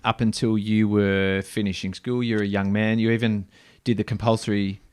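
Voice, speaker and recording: A man hosting a podcast interview, talking warmly with frequent ums and restarts. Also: fairly low pitch, level tone, normal speed, clean tone, no room sound. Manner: warm, hesitant with many ums and restarts. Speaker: podcast host.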